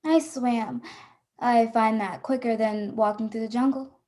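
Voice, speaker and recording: A woman acting a hunted, defiant adventurer in a radio play. The voice is fairly high and keeps to a narrow range, telling the tale in dramatic, suspenseful first person. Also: fairly even intonation, a little slow, mellow, natural voice. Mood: cool, defiant. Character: hunted adventurer narrating her escape, tense and defiant.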